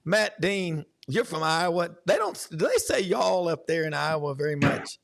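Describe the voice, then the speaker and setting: A man hosts a casual sports talk show. His voice sits a little high and has a gravelly creak. He talks in a chummy, joking manner. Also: expressive, moderate pace, a bit bright.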